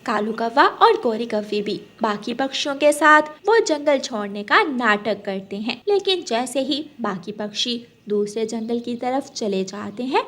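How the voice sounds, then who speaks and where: A woman tells a scripted children's story. Her voice is high-pitched, and she works as the narrator of a cartoon tale. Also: a bit bright.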